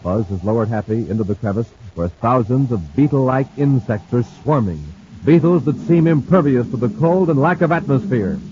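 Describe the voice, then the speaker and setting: A man's voice: medium pitch, animated, normal speed. A man announcing and reading sponsor ads for a 1950s radio serial in a showman-like, exclamatory way. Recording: muffled old radio transcription with faint hiss.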